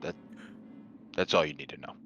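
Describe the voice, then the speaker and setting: A man's voice: normal speed, a bit bright, muffled recording, faint music behind. A man playing a tabletop game, talking casually in and out of character in a blunt, dry way. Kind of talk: casual in-character roleplay chat.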